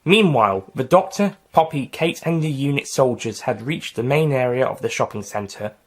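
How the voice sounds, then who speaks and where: A man's voice: medium pitch, animated, normal speed. A man narrating his own fan audio story in a plain storybook reading. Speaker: writer and reader.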